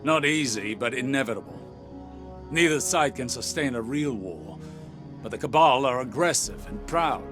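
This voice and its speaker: An actor playing a shrewd adviser and strategist in a sci-fi game, with a measured, persuasive manner. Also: male voice, mid-range voice, lively intonation, over music, lightly acted. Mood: urgent warning. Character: shrewd, calculating male elder strategist adviser. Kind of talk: dramatic sci-fi game voice acting.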